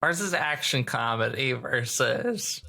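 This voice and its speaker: A man's voice: medium pitch, lively intonation, slow pace, gravelly, pushed and bright. A man chatting casually as a player on a podcast, with a wry, teasing manner. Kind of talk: casual chat.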